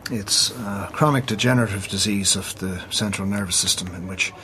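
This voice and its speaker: An actor playing a candid, careful hospital consultant who explains things hesitantly in a radio drama. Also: male voice, fairly low pitch, normal speed, pushed and bright, a little hiss or hum. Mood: measured. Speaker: doctor.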